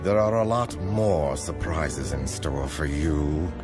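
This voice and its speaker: A man's voice: rumbling low, slow. A man playing a smug, menacing middle-aged cartoon supervillain with theatrically polite, gloating delivery. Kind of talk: animated TV series villain acting. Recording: background music, echoing cartoon soundtrack.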